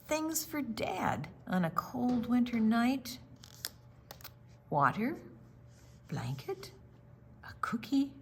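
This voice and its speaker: A woman reading a picture book aloud to young children, gentle, with dramatic pauses and drawn-out words. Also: fairly high pitch, sing-song, gravelly, slight background noise. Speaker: storytime reader.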